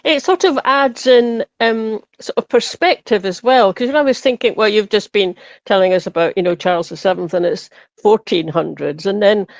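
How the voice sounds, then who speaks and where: A woman's voice: mid-range voice, moderate pace, no echo. A woman, co-hosting a podcast, thinking aloud as she speaks. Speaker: podcast host. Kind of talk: casual podcast chat. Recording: dry, dull lo-fi sound.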